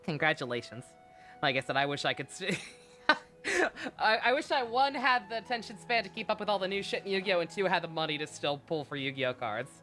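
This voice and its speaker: Game streamer, female: lively, forward bright tone, sarcastic off-the-cuff commentary, free with swearing. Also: fairly low pitch, fairly quick, soft background music. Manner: sarcastic, irreverent, swears freely. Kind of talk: casual live-stream commentary.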